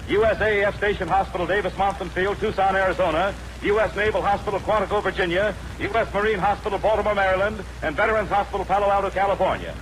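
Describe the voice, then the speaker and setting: A man's voice: high voice, a bit bright. A man with an American accent reading scripted 1940s radio announcements as the show's announcer. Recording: noisy vintage radio broadcast.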